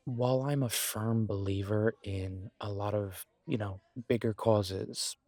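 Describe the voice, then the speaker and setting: A low, closely recorded, dry voice of a man acting as a supportive male best friend in a roleplay audio. He talks casually and earnestly, pausing as he goes. He is encouraging and happy to poke fun at himself. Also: steady tone, darkish tone, no echo. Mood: reassuring, earnest. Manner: earnest, encouraging, conversational with pauses. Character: supportive, self-deprecating male best friend, young working adult.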